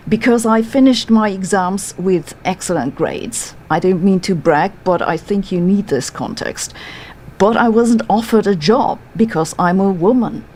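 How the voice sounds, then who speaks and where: A woman's voice: mid-range voice, a little slow, darkish tone, slight background noise. Dry German-accented woman narrating. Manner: dry, sardonic, matter-of-fact. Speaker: physicist telling her story. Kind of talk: scripted first-person narration.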